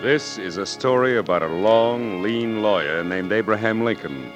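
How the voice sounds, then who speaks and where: A man's voice: fairly low pitch, animated, normal speed. A man with an American accent delivering dramatic scripted narration in 1940s radio style. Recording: vintage 1940s radio broadcast. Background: loud dramatic music.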